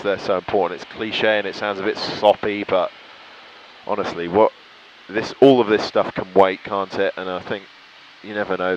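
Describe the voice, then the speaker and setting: A man's voice: low voice, lo-fi sound. A British man chatting casually to viewers while flying, with an enthusiastic, reflective way of talking. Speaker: pilot vlogger. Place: cockpit of a small light aircraft in flight. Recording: clear engine noise in a cockpit.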